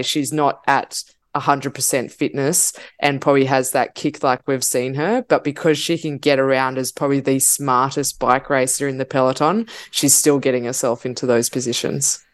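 An Australian woman on a cycling podcast panel, chatting casually and hesitantly, with frequent fillers and restarts. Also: very deep voice.

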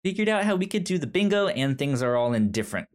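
A man co-hosting a podcast in casual movie chat, talking haltingly with stammered repeats. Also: on the high side, big pitch swings. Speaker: podcast co-host.

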